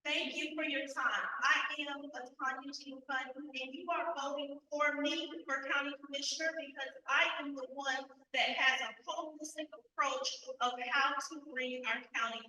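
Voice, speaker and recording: Bright, high woman in an echoey hall. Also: slight background noise. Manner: emphatic, repeats phrases in lists. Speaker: county commission candidate. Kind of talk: campaign speech at a candidate forum. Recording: echoey hall, distant mic.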